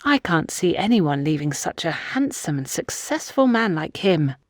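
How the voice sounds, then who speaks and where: A woman's voice: on the low side, sing-song, moderate pace, no echo, clean studio sound. A woman voicing an insistent, gossipy older woman in an audiobook, emphatic and confiding. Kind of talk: audiobook character dialogue.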